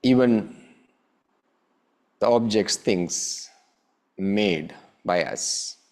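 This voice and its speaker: Very slow, low-voiced male meditation guide. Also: a little mellow. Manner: measured, with long pauses between short phrases. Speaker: meditation teacher.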